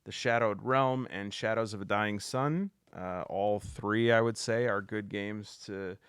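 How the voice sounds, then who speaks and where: Slow, halting man with a smooth voice. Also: lowish voice, fairly bright tone, no room sound. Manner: halting, many fillers and restarts. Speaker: solo tabletop game show host. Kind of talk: casual talk to camera.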